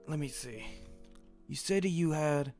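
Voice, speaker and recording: Guy roleplaying a headmaster, chatty, long explanations, soft music behind. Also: on the high side, measured pace. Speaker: roleplayer playing a school headmaster. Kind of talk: improvised character roleplay.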